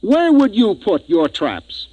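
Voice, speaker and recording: An American actor playing a decisive, brooding spaceship captain in a 1950s radio science fiction drama. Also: male voice, high voice, huge pitch swings, slow, mellow, dry recording, muffled recording, heavily acted. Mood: intent, reasoning. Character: decisive, brooding male spaceship captain narrating his expedition.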